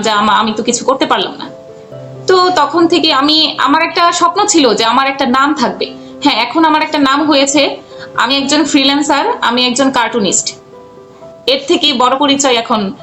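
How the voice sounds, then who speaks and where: Female interviewee, high register, halting conversational delivery, soft music bed underneath. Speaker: guest, freelance cartoon voice artist and animator.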